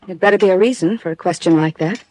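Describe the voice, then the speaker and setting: An actress playing a guarded, aloof wife in a classic radio drama, cool, formal and evasive. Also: female voice, lowish voice, quick pace, mellow, lightly acted, rough recording. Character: guarded, aloof wealthy wife under suspicion.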